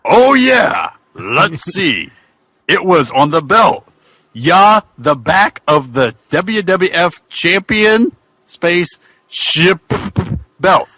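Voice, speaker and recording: A man acts out a dim-witted ghost of an old wrestler in a comic fan-fiction reading. He rambles in a bright, fairly high voice with big swings of pitch, folksy and bumbling. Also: big pitch swings, very slow, slightly rough, dry recording, full character voice. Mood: eager, bumbling. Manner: rambling, bumbling, colloquial delivery.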